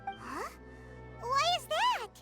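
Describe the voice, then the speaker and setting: A woman voicing a tiny, excitable, blunt fairy girl companion in a video game, with a squeaky, cutesy voice. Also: slow pace, exaggerated voice. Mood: curious. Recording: game music behind.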